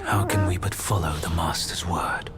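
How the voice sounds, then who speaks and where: An actor playing a calm, secretive envoy of a divine master, speaking in a measured, persuasive way in a game cutscene. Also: male voice, low-pitched, natural voice. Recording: music behind the voice.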